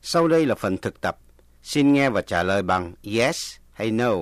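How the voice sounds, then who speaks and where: A man's voice: fairly low pitch, animated, moderate pace, music in the background. A native Vietnamese man reading scripted language lesson narration as the presenter.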